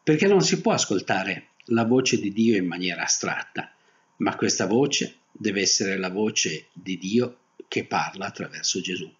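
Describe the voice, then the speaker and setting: An older man preaching a sermon as a pastor, phrasing deliberately with pauses. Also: on the low side, lively intonation. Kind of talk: Protestant sermon. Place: small indoor room, seated at a desk. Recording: dull, muffled webcam audio.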